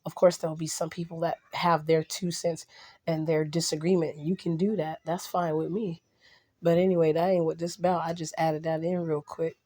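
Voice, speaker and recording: A woman vlogging casually to her viewers, a little hoarse and raspy from a cold, rambling with restarts and blunt asides. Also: deep voice, flat delivery, normal speed, darkish tone. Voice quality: slightly raspy. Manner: blunt and outspoken.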